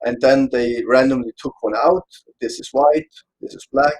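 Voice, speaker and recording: A man from Austria with an Austrian German accent, German his first language, explaining a psychology topic in a casual online panel, pausing often to search for words. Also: mid-range voice, fairly even intonation, a little mellow, breathy edge, low-quality recording. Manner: hesitant, searching for words, checks notes.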